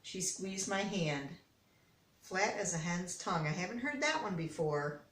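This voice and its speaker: A woman's voice: fairly low pitch, expressive, unhurried, a bit bright, a little hiss or hum. An older American woman reads a children's picture book aloud expressively, with chatty personal asides. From Detroit, United States. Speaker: storybook reader. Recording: roomy, echoey, distant microphone.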